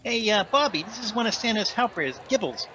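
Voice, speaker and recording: A chummy brother-of-Santa figure, acted by a man in a holiday radio play. The voice is bright and lively in pitch, informal, with faint clinking noise behind it. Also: brisk. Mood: friendly. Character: casual, friendly pal dressed as Santa's brother.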